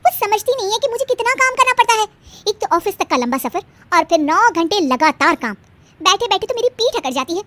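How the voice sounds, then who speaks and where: Cartoon daughter-in-law, woman performer: young, overworked, complaining, high voice, exasperated delivery. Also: big pitch swings, fairly quick, a bit bright, dry recording, heavily acted. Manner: whiny, exasperated. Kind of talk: animated family drama storytelling.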